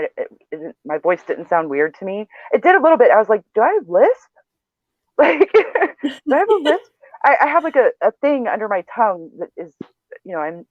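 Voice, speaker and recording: A man's voice: high voice, no echo. A man with a nasal voice in a casual, rambling video chat, poking fun at himself. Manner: rambling, chatty, self-deprecating. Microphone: video call. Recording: tinny phone-like video call, dry.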